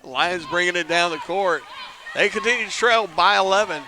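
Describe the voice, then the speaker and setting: A man calls a basketball game live from inside an arena with a high, ringing, very bright voice. He keeps up a chatty stream of play-by-play, cracks jokes about himself, and pushes up to near shouts when a shot drops. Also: moderate pace, a little hiss or hum, low-quality recording. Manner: lifts to near shouts on big plays. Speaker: play-by-play commentator.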